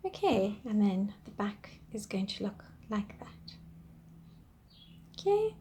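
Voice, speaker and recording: Slow, soft-spoken woman teaching crochet. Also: medium pitch, very animated. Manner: counts stitches aloud, soft and patient. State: losing her voice, clearing throat. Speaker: crochet instructor. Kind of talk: step-by-step craft tutorial.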